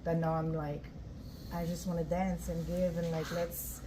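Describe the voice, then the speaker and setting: A woman from France, a guest dancer telling her story in a relaxed way, with many "you know" and "um". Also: fairly low pitch, unhurried. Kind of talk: casual interview talk. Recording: faint event noise.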